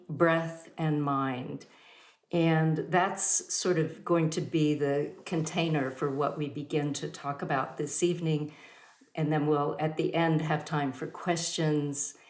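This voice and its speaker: Host: woman, very low voice, slow and halting with fillers, echoey room. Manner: warm introductions with many fillers. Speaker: host of a yoga studio talk. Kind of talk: hosting an online talk.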